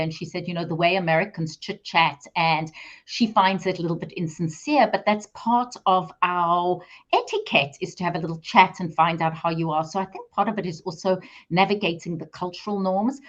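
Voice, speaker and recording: A woman's voice: fairly low pitch, fairly even intonation, normal speed, muffled recording. A middle-aged woman hosting a live video call interview, chatty and enthusiastic. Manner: enthusiastic, talkative. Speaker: interview host.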